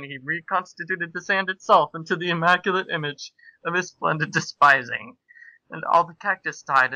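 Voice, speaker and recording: Guy w a highish punchy voice doing over-dramatic podcast story reading, close dry sound. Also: pushed and bright, no echo, rough recording. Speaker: podcast host reading fan fiction aloud. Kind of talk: amused dramatic reading of comic fan fiction.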